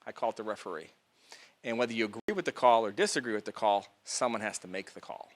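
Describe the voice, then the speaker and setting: Measured man introducing a speaker. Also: lowish voice. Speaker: city council member introducing a speaker. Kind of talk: public talk to a local group. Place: meeting room.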